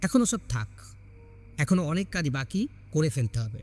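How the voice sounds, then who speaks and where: A man voicing an affectionate young wife, expressive. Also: on the high side, normal speed. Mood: loving. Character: affectionate young wife, sentimental, easily frightened.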